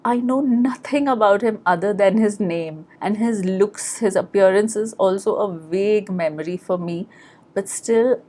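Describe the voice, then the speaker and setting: A woman's voice: mid-range voice, unhurried, warm tone. A woman with an Indian accent telling personal memories as a podcast host, in a plain-spoken, reflective manner. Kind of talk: personal storytelling.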